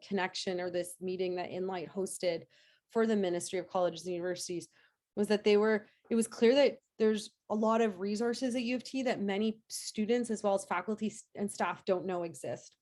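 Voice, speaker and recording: A woman professor answering audience questions after a lecture, hoarse from a cold, with lots of fillers and restarts. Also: medium pitch, darkish tone. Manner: hesitant, many fillers and restarts. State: hoarse, getting over a cold. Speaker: nursing professor giving a lecture.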